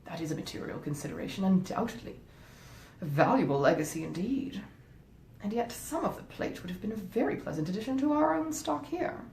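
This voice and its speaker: A self-satisfied, tight-fisted storybook gentleman, voiced by a woman reader, talks in formal, measured phrases. The tone is mellow and the intonation varied. It sounds recorded in an echoey room. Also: lively intonation, creaky. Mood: agreeable, pompous. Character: pompous, easily persuaded, miserly gentleman husband. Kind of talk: dramatized audiobook reading of a classic novel. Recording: roomy echo, faint rumbling noise.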